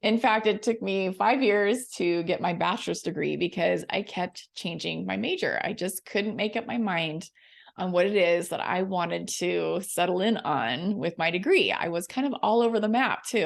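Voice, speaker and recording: A woman's voice: lowish voice, creaky. A middle-aged American woman hosting a chatty solo podcast, friendly and informal, with a scratchy, froggy voice from laryngitis. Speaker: podcast host and coach.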